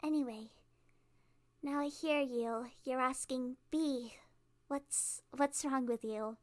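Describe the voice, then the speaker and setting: A woman's voice: high-pitched, darkish tone. A woman playing a cute, awkward, cheerfully menacing kidnapper girl in a rambling, playful ASMR roleplay monologue. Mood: smug, casual. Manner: rambling, halting, giggly, playful.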